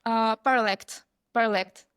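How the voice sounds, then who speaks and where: A woman from Belarus with a Belarusian accent, a podcast guest speaking English hesitantly with many pauses for words. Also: a little high, steady tone, fairly bright tone, smooth, no room sound, studio quality. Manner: hesitant, searching for words, frequent uhs. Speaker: guest, agency founder. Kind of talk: casual interview chat.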